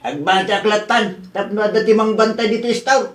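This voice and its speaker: An actor voicing an old husband in a radio drama who talks slowly and calmly. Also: mid-range voice, natural voice. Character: old husband who runs a rice store. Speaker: store owner's husband. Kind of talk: Ilocano radio drama acting.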